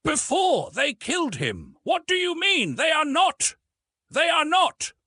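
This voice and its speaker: A man's voice: very high voice, sing-song, slow pace, sharp tone, no echo, studio quality, pitched above the performer's own voice, full character voice. A man voicing an emotional, proud and easily wounded young woman in an audiobook, with an impassioned, haughty manner. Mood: horrified.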